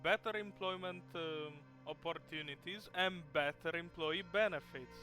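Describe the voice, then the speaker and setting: High, bright indignant player. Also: male voice, moderate pace, faint music behind. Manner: indignant, swearing. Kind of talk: casual game chat.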